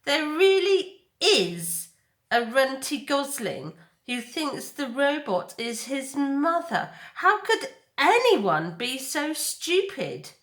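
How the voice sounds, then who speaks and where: A woman's voice: high voice, sing-song, slow pace, a bit bright, pitched above the performer's own voice, heavily acted. A woman voicing a taunting, snarling young female bear with a menacing streak in a storybook reading. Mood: mocking.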